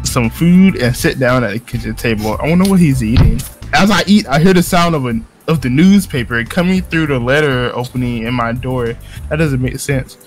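A man's voice: medium pitch, moderate pace. A man reading visual novel text aloud and breaking into casual, joking banter with some swearing. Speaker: narrator of a visual novel playthrough. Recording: music behind the voice.

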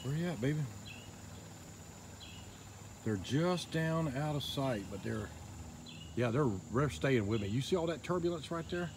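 A man filming from a small boat on a river outdoors, giving excited, quiet running commentary into his handheld phone. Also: fairly high pitch, measured pace, pauses often. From Northeast Florida. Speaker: fishing vlogger. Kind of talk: casual narration while filming. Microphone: handheld phone camera. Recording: outdoor noise, insects behind.

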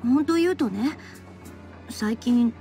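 A woman's voice: fairly high pitch, lively intonation, slow, mellow, soft background music. An actress playing a sweet, earnest teenage girl in love in a game romance, intimate and girlish. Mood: shy, affectionate. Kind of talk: Japanese game romance acting.

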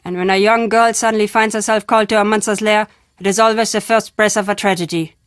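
A woman playing an enigmatic, foreboding traveling storyteller in an adventure game, stern and deliberate in her phrasing. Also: mid-range voice, fairly even intonation, smooth, dry recording, studio quality, lightly acted. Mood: wry, cryptic. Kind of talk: adventure game character acting.

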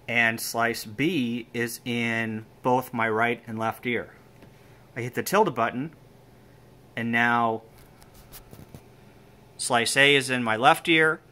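A man talks through a piece of gear in an informal walkthrough. His voice is bright and forward, at a middling pitch. He goes slowly, one step at a time, in short halting phrases. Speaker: amateur radio hobbyist demonstrating equipment. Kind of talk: informal hands-on demonstration. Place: indoor room with equipment on folding tables. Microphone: handheld camera or phone, operator behind it.

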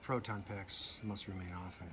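An actor playing a sardonic, flirtatious male ghost hunter in a comic video game, deadpan and wisecracking. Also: sits low, normal speed, noisy background, lightly acted. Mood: dry, official. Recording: loud music behind the voice.

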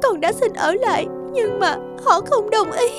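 High-pitched, gentle young maiden. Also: female voice, expressive, moderate pace, over music. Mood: sorrowful. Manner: pleading, earnest. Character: gentle, devoted young moon-fairy maiden. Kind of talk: Vietnamese children's cartoon dubbing.

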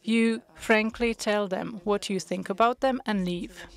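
Brief: woman interpreter, unhurried delivery, mid-range voice, hesitant pauses between phrases. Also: medium pitch, gravelly. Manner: measured, with frequent hesitations. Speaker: conference interpreter. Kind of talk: simultaneous interpretation of a panel discussion.

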